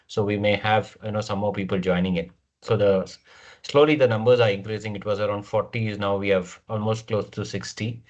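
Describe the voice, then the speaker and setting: A man with an Indian English accent hosting an online webinar, speaking casually with many fillers and restarts. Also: on the low side. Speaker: webinar host. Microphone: computer mic on video call. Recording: dull lo-fi video call audio.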